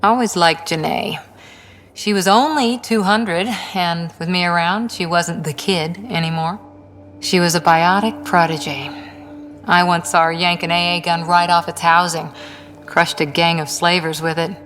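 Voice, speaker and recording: A woman's voice: lowish voice, steady tone, a little slow, a bit bright, a little hiss or hum. A woman playing a confident, earnest commando soldier in a video game, composed and reflective. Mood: fond reminiscence. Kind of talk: video game dialogue acting.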